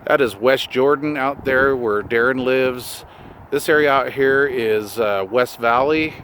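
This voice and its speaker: A man's voice: mid-range voice, unhurried, a bit bright. A middle-aged man chatting casually off the cuff in a laid-back, folksy, slangy way, as a hobbyist vlogger. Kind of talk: casual off-the-cuff outdoor vlog and radio chat. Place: outdoors on a hillside road by his vehicle. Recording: windy outdoor noise with vehicle sounds.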